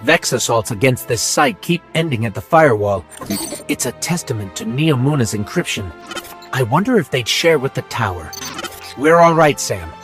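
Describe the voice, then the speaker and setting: A man's voice: mid-range voice, lively intonation, moderate pace, fairly bright tone, faint music behind, natural voice. An actor playing an earnest, curious male robot companion in a video game, thoughtful and polite. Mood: polite, inquisitive.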